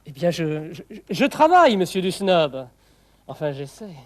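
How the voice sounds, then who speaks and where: A man's voice: on the high side, big pitch swings, a little slow, a little mellow. An actor playing a polite, flustered man in a children's television comedy, stammering and hesitant. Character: polite, flustered young man trying to work.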